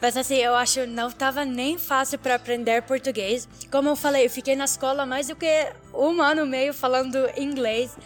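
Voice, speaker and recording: A young woman with a high voice and an Arabic accent speaks casually as an interview guest. She is a non-native speaker who pauses and regroups as she looks for words. Faint music plays behind her. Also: fairly even intonation. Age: young adult. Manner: halting, searching for words in second-language speech. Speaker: interviewee, international relations student.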